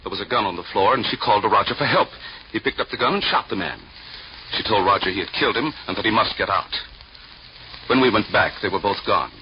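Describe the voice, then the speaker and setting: Brisk, proper worried official, a man acting. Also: on the low side, expressive, lightly acted. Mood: worried, earnest. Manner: formal, polite, earnest. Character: proper, anxious male parole board chairman, a worried father. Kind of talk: 1940s-50s radio drama acting. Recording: muffled, noisy old radio recording.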